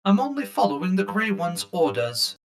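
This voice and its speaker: A woman as a sardonic, animated older sibling. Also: mid-range voice, normal speed. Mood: skeptical. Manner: sardonic, questioning. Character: blunt, teasing, skeptical older sibling.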